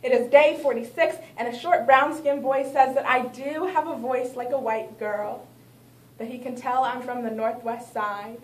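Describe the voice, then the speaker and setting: A woman's voice: fairly high pitch, steady tone, moderate pace, roomy sound. A young woman performing spoken word poetry, reciting dramatically with rhythmic refrains, recorded by a distant camera in a small gallery. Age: young adult. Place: small art gallery, indoors. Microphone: far camera, no mic.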